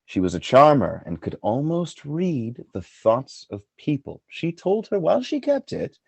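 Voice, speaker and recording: An actor playing a proud, jealous general in a Shakespearean radio play, declaiming grand verse in a measured, volatile way. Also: male voice, fairly high pitch, big pitch swings, vocal fry, breathy edge, dry recording, exaggerated voice. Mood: ominous, intent.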